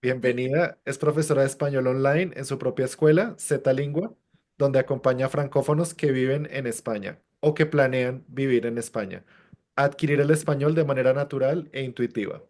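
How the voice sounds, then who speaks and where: A man co-hosting an interview podcast, reading a scripted introduction and asking questions at a measured pace with filler sounds in between. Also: mid-range voice, steady tone, a little mellow. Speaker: podcast co-host.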